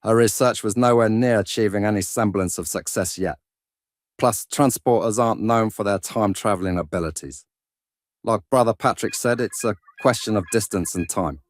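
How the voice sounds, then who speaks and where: Man as measured religious brother, explanatory and formal, sci-fi audio drama, very dry studio sound. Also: fairly low pitch, no room sound, close to an everyday voice. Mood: pondering. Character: measured religious brother investigating a scientific mystery.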